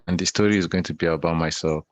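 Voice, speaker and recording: A man's voice: sits low, steady tone, fast, dry recording. A young Nigerian man in his twenties giving an online talk, telling a personal story in a conversational way, often repeating and restarting his phrases. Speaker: guest speaker. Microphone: video call.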